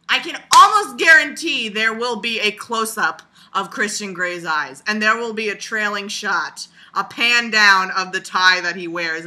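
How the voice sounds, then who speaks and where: A woman with a very bright, sharp voice at a middling pitch talks casually as a video blogger. She rambles through her commentary with animated sarcasm. Her humor is crude and she swears freely.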